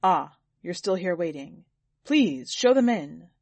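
A woman voicing a vain, pompous, quick-tempered lordly master in a story, speaking with indignant emphasis. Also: lowish voice, expressive, a little mellow, dry recording, exaggerated voice. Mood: self-important.

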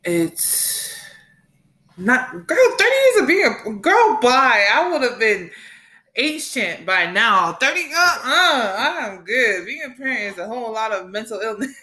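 Animated, rambling American woman in her late twenties. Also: a little high. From United States. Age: late 20s. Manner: animated, rambling, quick to laugh. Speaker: podcast host and mother. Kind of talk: casual solo monologue.

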